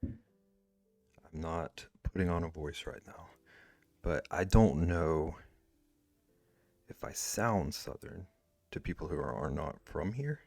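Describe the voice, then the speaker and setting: A man's voice: extremely low pitch, slow, vocal fry, long pauses, darkish tone, dry recording, soft background music. A man streaming live while he draws, chatting casually with viewers and rambling with lots of "like" fillers. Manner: rambling, with many "like" fillers and restarts. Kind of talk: casual chat, reading and answering chat.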